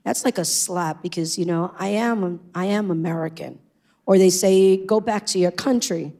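A woman's voice: on the low side, moderate pace, vocal fry, mellow. A middle-aged woman with a Puerto Rican accent, a native Spanish speaker, sharing her personal testimony in an earnest, reflective way. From Puerto Rico. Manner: earnest, reflective, with frequent ums. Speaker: church member sharing testimony.

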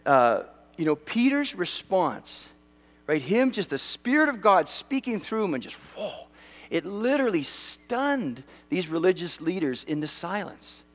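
Man, middle-aged pastor, from western canada, lively swinging delivery, slightly high voice, long church-hall reverb. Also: a little slow. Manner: animated, conversational, self-deprecating humor. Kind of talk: sermon.

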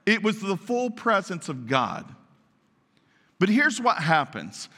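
A man preaching a sermon to his congregation, naturally loud and emphatic, often asking them questions. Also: high-pitched, big pitch swings, takes it slowly. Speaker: pastor. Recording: long reverb of a large hall.

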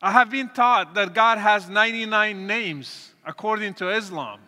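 Guy speaking slow and earnest, bright voice, big echoey hall. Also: sits high. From Morocco. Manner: earnest, deliberate, with pauses and restarts. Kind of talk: testimony talk to an audience. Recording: reverberant hall.

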